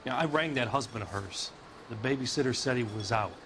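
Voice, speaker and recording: A man's voice: medium pitch, a little fast, fairly bright tone, a little hiss or hum, lightly acted. Chatty American bartender played by a man. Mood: agitated, chatty. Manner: informal, chatty. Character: talkative, concerned male bartender at a neighborhood bar. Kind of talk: video game dramatic acting.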